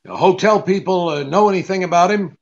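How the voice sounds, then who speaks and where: An actor playing a wary, hard-boiled private detective, curt and sardonic, in 1940s radio drama style. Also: male voice, high voice, a little fast, gravelly, muffled recording. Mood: probing, cool.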